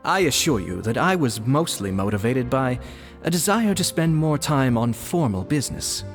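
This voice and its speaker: An actor playing a composed, formal older brother and diplomat in a game, speaking calmly and politely. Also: male voice, medium pitch, moderate pace, faint music behind, close to an everyday voice.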